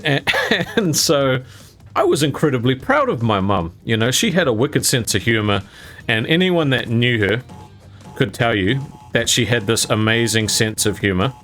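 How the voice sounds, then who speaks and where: A man's voice: medium pitch, animated, moderate pace, a bit bright. Casting note: young guy, mid-range voice, casual rambly storyteller full of "um"s and "you know"s, faint music under him. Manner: rambling with fillers, laughing as he tells it. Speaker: gaming YouTuber telling a personal story.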